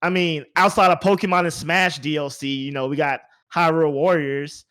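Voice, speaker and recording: A man's voice: on the high side, steady tone, moderate pace, a bit bright. A man hosting a casual video game podcast, thinking aloud in a rambling way and repeating his words. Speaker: podcast host.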